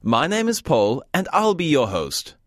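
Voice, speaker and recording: Lively British man hosting a studio lesson, joking, dry mic. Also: on the high side, unhurried, no echo. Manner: wry, joking teacher. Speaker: language course host. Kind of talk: scripted lesson narration.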